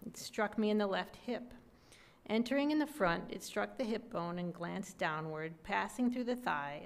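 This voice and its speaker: A middle-aged woman reads a soldier's old letter to be heard from a podium. Her pitch is in the middle range for a woman. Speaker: readers theater reader. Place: museum gallery, indoors at a podium. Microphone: gooseneck podium microphone.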